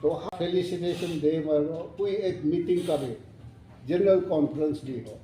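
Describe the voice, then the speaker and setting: A man in his seventies, chairing a meeting and addressing the committee in a halting way, pausing and correcting himself. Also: on the high side, warm tone. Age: 70s. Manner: halting and unhurried, with pauses and self-corrections. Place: hotel meeting hall. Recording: echoey hall, mic far off, faint room noise.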